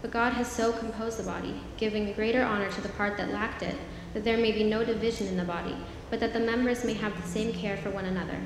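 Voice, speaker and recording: A woman reads scripture in a steady, measured voice, distant from the mic. Her voice rings in a very reverberant church hall, with clear room noise behind her. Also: medium pitch. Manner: steady, measured reading aloud. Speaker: scripture reader. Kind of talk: formal scripture reading. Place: church sanctuary. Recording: very echoey church hall, heard from afar.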